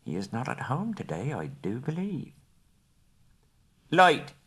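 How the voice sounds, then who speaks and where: A man reading aloud and voicing a timid, fussy male hobbit with a high, fretful voice that grows breathless when he is scared. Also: huge pitch swings, normal speed, a little mellow, husky, exaggerated voice. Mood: hushed, urgent.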